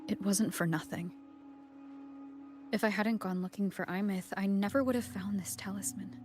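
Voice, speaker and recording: Actress as an earnest, grieving young game heroine. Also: female voice, mid-range voice, steady tone, normal speed, music in the background, lightly acted. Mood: wistful. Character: grieving young woman searching for her lost friend, resolute. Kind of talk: dramatic video game acting.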